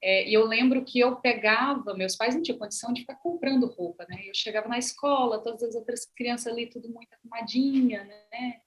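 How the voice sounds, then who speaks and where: Hesitant woman from Maranhão, Brazil. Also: fairly high pitch. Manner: unhurried, hesitant, frequent "né" tags. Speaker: artist and actress, panel speaker. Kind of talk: panel talk, personal account.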